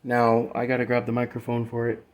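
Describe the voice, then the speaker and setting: A man's voice: medium pitch, monotone, a little fast. A man presenting a casual hands-on demo of a vocal effects unit, informal and rambling, trailing off mid-explanation.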